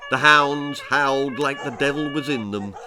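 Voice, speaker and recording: A man with an English accent reading a children's story aloud in an expressive, theatrical and deliberate way. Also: mid-range voice. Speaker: author reading his own story.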